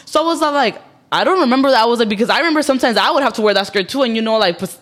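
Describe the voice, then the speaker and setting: Young female podcast guest: fast, slightly high voice, candid, profane, animated storyteller. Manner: candid, swears freely, acts out quoted dialogue in storytelling. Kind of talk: casual podcast chat.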